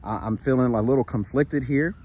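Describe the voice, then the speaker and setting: A man's voice: medium pitch, brisk, a little mellow. Man, earnest spiritual vlogger, walking outdoors, tired and sweaty, faint outdoor hiss. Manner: earnest, repetitive, with frequent "right?" and restarts; walking while he talks. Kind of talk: casual encouraging monologue. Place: outdoors in a shaded park.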